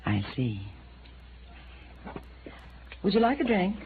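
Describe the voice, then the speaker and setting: A woman playing a brassy, suspicious, flirtatious widow in a 1950s radio noir drama, with a sharp, slangy, challenging tongue. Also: medium pitch, wildly swooping pitch, slow, full character voice. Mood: flirty, wry. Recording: tinny, hissy old radio recording.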